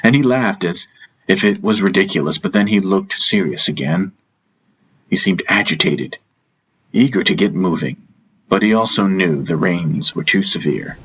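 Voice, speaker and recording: A man narrating a first-person fantasy audiobook in a solemn, measured, reflective manner. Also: sits low, expressive, a bit bright, husky.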